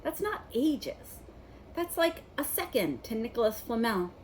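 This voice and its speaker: Woman as storybook schoolgirl, bossy, anxious, emphatic, high voice, very animated. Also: measured pace, slight background noise. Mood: snappish. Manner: nagging, emphatic. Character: bossy, anxious, studious schoolgirl, snappish and earnest.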